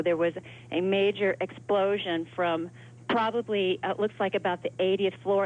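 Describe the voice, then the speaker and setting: A woman phoning in a live eyewitness account in an articulate, orderly and polite way. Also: mid-range voice. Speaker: eyewitness caller. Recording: tinny telephone line with hum.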